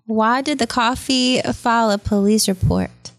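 A woman on a church staff who asks joke riddles in a brisk, matter-of-fact way. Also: on the high side. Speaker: staff member. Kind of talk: telling quick joke riddles.

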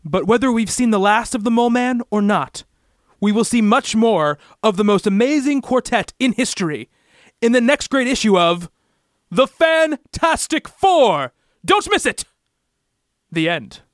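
A man's voice: extremely high pitch, animated, a little slow, dry recording, clean studio sound. A man narrating a comic-book radio play in a theatrical, bombastic style.

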